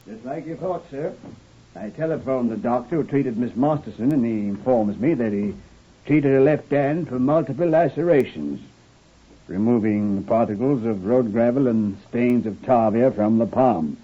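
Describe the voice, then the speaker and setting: A man's voice: medium pitch, normal speed, slightly rough, phone-quality sound, close to an everyday voice. A man playing a dutiful police detective reporting formally to his superior in an old-time radio crime drama. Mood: dutiful, matter-of-fact.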